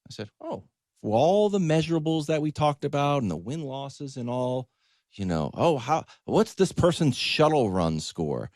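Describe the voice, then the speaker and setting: A man's voice: on the high side, normal speed, dry recording, clean studio sound. A man hosting a sports talk show, telling stories in a conversational, comedic and emphatic monologue. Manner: emphatic, animated, acts out quoted exchanges.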